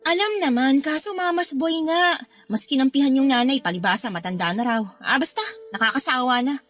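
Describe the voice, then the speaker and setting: Casting: defiant young eldest daughter, very high voice, snippy, radio drama with soft music behind. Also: female voice, a little fast. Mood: weary, resentful. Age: young adult. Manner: snippy, complaining, stubborn.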